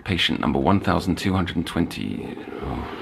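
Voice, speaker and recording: A man's voice: sits low, animated, normal speed, vocal fry, a bit bright, a little hiss or hum, heavily acted. A man playing an intense, formal and articulate counsellor at a psychiatric institute in an audio drama. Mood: formal, wry. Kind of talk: audio drama, dictated case notes and dialogue.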